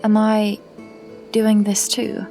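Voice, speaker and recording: A woman's voice: medium pitch, monotone, slow, warm tone. A woman playing Lyra, a young female cat who is stubborn and guilt-ridden, speaking in a hesitant, apologetic and defensive way. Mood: uneasy. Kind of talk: audio drama acting. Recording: music underneath.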